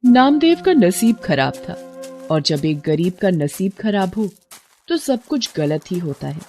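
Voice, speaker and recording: A woman's voice: on the low side, big pitch swings, a little slow, mellow, soft background music. A woman narrating a cartoon moral story in a dramatic, emphatic way.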